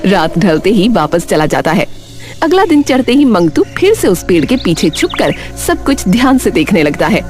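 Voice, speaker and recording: Lively woman narrating a story. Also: medium pitch, moderate pace. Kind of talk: scripted story narration in Hindi. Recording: faint music behind the voice.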